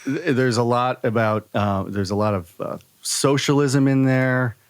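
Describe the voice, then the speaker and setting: A man's voice: mid-range voice, measured pace, clean tone, no room sound. Male co-host, close dry sound. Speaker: podcast co-host. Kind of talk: casual chat.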